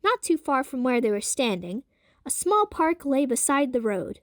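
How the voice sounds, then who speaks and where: High-voiced, expressive woman narrator. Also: normal speed, no echo, clean studio sound. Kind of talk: scripted audio drama narration.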